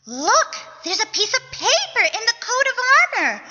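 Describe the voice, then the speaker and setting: Woman acting an excitable, very high-pitched comic heroine in a hall. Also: a little slow, dull audio, full character voice. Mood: excited. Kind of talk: radio comedy stage acting. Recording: echoey hall, music behind.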